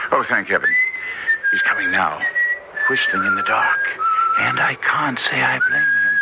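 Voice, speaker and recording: Incisive, authoritative detective, deep and very animated, old radio drama style. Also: male voice, exaggerated voice. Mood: urgent alarm. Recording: noisy vintage radio recording.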